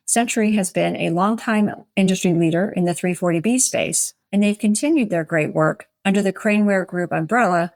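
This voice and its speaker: A woman podcast host speaks in a fairly low voice, recorded close and dry. Her delivery is polished and articulate, like a prepared script for introductions and interview questions. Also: dry recording.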